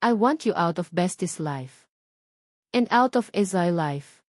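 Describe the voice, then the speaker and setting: A woman's voice: on the low side, animated, slow pace, darkish tone, no room sound, studio quality. Woman voicing a haughty, cutting rich fiancée. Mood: menacing, dismissive. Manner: condescending, cutting. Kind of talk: audio drama villain dialogue.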